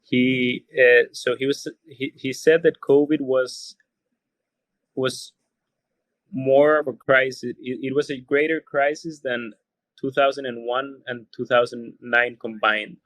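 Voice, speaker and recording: A man's voice: mid-range voice, steady tone, takes it slowly, a bit bright, no echo, poor audio. A man from Costa Rica with a Spanish accent, answering interview questions thoughtfully and often repeating words as he searches for phrasing. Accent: Costa Rican Spanish. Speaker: podcast guest, hotelier.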